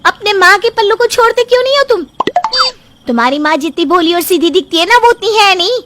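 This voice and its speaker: A manipulative young wife from a cartoon family story, as a woman voices her, with a high, thin voice. She whines and puts on tears in a theatrical way. Every line sounds like a calculated act. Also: expressive, a little fast, a bit bright. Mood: nagging. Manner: theatrical, whining, fake tearful.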